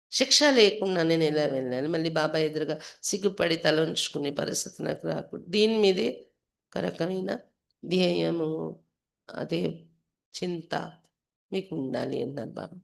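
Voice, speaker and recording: Low-pitched woman teaching scripture. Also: vocal fry. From India. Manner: instructive, repeats phrases for emphasis. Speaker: spiritual teacher reading a daily scripture. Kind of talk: devotional reading with line-by-line translation and explanation.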